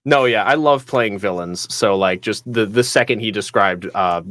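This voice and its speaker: A man's voice: on the low side, moderate pace, a bit bright, dry recording. A man chatting casually as a voice actor guest on a panel, telling his story into a close studio microphone. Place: small home studio, video call.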